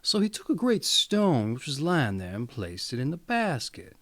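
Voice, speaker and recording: A man's voice: medium pitch, huge pitch swings, moderate pace, a bit bright, dry recording. A man reading a fairy tale aloud as a storyteller, with theatrical, emphatic delivery. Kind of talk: expressive scripted fairy-tale narration.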